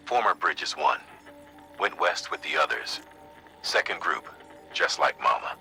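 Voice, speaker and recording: A grave, earnest official giving a game briefing. His deep voice is very bright and forceful, persuasive yet conversational, over faint music. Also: full character voice, rough recording. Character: grave male second-in-command briefing an agent, earnest, folksy. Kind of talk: dramatic video game exposition.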